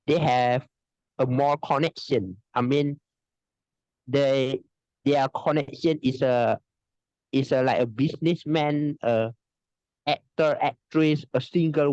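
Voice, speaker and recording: A man from Indonesia speaks slowly and haltingly, restarting his sentences over and over. His voice has a middle pitch. It comes through dry and a little muffled over a video call. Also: darkish tone, dry recording. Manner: halting, hesitant speech with many restarts and frequent laughs. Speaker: online English teacher, interview guest. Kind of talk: casual video-call interview.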